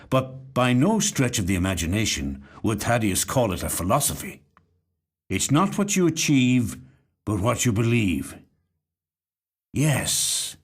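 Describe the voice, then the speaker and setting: Expressive man reading a story. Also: medium pitch. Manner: measured, reflective storytelling. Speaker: actor reading short stories. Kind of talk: scripted literary short story narration. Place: recording studio.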